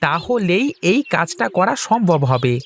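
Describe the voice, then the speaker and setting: A man's voice: high voice, sing-song, measured pace, a little hiss or hum, full character voice. An actor playing a chatty, scheming younger village man in a cartoon folktale. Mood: eager, persuading. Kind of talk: Bengali cartoon folktale dialogue.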